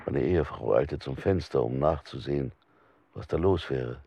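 An actor playing a persecuted husband in a documentary radio drama, recounting his sworn testimony in a formal, measured, precise way. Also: extremely low pitch, gravelly, dark tone, lo-fi sound, slight background noise. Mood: sober, grave.

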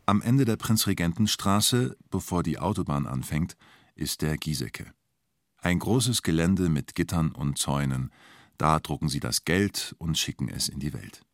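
Ein Schauspieler spielt in einem Hörspiel einen nüchternen, sachlich formulierenden Erzähler und Vernehmer. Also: male voice, rumbling low, lively intonation, unhurried, no room sound, clean studio sound, heavily acted. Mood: sober, reflective. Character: sober male narrator and formal police interrogator. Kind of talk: scripted radio drama narration.

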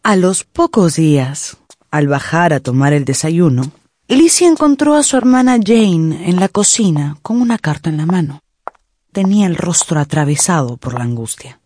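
A woman narrating a literary radio drama in an expressive, deliberate storytelling style. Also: low voice, husky, no echo.

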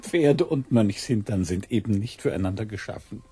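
An actor playing a shrewd, unruffled court secretary in a radio play, dry and ironic. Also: male voice, fairly low pitch, animated, normal speed, warm tone. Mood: amused, ironic. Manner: dry, smooth-talking, ironic.

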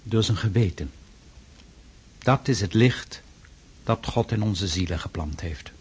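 An actor playing a stern, pious Russian freemason in a radio drama, speaking formally and insistently with a husky voice. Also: male voice, on the low side, a little mellow. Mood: solemn, preaching. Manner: formal, measured, preaching, insistent.

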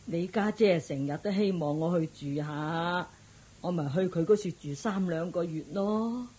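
A woman's voice: fairly low pitch, unhurried, dark tone, husky. A woman playing a forbearing, aggrieved elderly mother-in-law with a sighing manner in a radio drama. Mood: resigned. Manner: sighing, long-suffering. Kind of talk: Cantonese radio drama acting.

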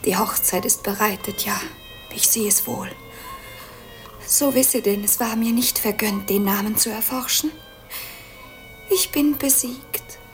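An actress playing a proud, cold princess, declaiming solemnly with wounded defiance in a radio play. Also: female voice, mid-range voice, faint music behind, lightly acted. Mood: bitter defeat. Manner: solemn, haughty, measured.

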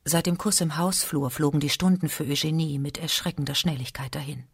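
A woman's voice: low voice, steady tone, fairly quick, slightly rough, no echo, studio quality. A woman giving scripted literary narration in a radio drama.

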